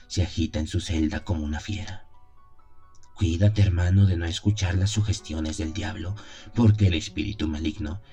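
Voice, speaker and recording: A man voicing a calm, pious abbot who counsels gravely in a measured, admonishing way, in an audio drama. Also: low-pitched. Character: calm, pious older abbot counseling a troubled young priest.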